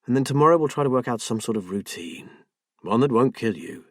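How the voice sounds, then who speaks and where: A man's voice: expressive, fairly quick, no echo, clean studio sound, close to an everyday voice. A man voicing a patient husband, hurt but firm and coaxing toward his wife. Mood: hurt, soothing. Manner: firm, coaxing, low-key.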